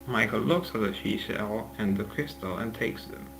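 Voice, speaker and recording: A man's voice: fairly low pitch, moderate pace, gravelly, poor audio. A man reading scripted narration aloud as the narrator of a table read, with music playing behind him.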